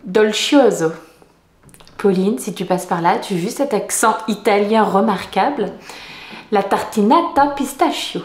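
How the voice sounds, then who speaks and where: A woman's voice: mid-range voice, lively intonation, measured pace. Chatty woman vlogging at home. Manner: chatty, self-mocking, many fillers and restarts. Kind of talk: casual vlog chat. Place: home kitchen and dining room. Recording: echoey room.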